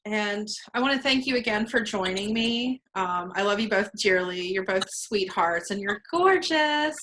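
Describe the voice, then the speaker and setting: Bright, enthusiastic woman interviewer. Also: medium pitch, animated, a little slow, slight background noise. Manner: warm and enthusiastic, laughs often. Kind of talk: casual interview.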